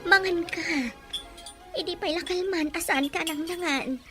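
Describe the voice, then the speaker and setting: An actress playing a middle-aged Ilocano-accented mother, protective and worried, gentle but insistent, in a melodramatic radio drama. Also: extremely high pitch, a little slow, faint music behind. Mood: consoling, sorrowful.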